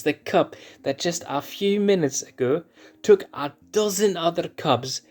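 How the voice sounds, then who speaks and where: A shy, stammering young lion cub with a high, reedy voice, as a man puts him on. He trips over his words, hesitant and jittery, then turns playful and teasing. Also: full character voice.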